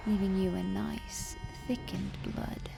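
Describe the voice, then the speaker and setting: A woman voicing a genteel, macabre vampire countess who narrates a recipe in slow, deliberate, breathy ASMR style with creaky fry. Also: mid-range voice, little pitch movement, music in the background. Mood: hushed, instructive. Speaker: host.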